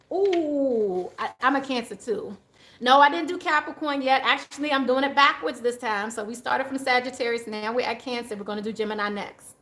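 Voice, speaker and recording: A woman's voice: high-pitched, fairly bright tone, roomy sound. A woman giving a casual, chatty tarot reading to her viewers, laughing often as she goes. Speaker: tarot reader.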